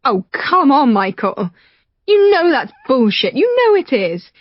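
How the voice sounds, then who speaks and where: A woman reading an audiobook, voicing a distraught young English woman who pleads in a high, strained, tearful voice. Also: very animated, measured pace, no echo, full character voice. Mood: pleading. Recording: dull lo-fi recording.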